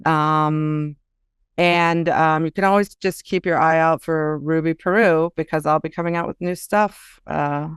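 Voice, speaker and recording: A woman's voice: low voice, fairly even intonation, unhurried, smooth, no room sound, studio quality. A woman talking casually as a guest author in an interview, thinking aloud in a chatty, hesitant way.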